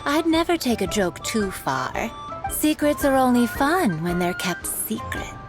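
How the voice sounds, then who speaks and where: A teasing game shopkeeper, coy, slow drawling, highish voice, music behind. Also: female voice, sing-song. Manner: playful, coy, drawn-out teasing. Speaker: perfume shop owner and tailor. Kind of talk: game voice acting. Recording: game dialogue over clear music.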